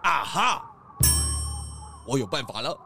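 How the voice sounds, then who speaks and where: A man playing a calm, shrewd pig police chief who asks measured, probing questions in a children's audio drama. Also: mid-range voice, vocal fry, loud music behind the voice, heavily acted. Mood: decisive.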